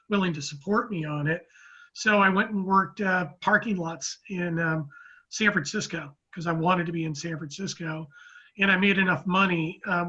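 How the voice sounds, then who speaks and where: A man in his fifties from Oakland, a guest telling his story in a rambling, measured way with many restarts. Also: sits high, sharp tone, breathy edge, lo-fi sound. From Oakland, California, US. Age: 50s. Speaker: guest, real estate economist. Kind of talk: casual interview talk.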